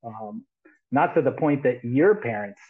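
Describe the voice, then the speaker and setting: A man's voice: mid-range voice, lively intonation, a little slow, breathy edge. Sixty-something male guest musician on a thin, phone-quality video-call line; chatty and rambling, laughs a lot. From United States. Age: 60s. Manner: rambling, laughs often, many restarts. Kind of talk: casual interview over video call. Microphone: headphones on a video call.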